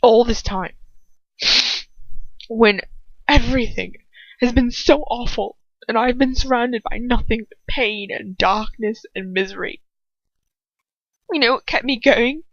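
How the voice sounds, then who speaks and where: A woman's voice: fairly high pitch, unhurried, pauses often, dry recording, dull audio. A woman voicing an anguished, betrayed young man with bitter, pained delivery in a story reading.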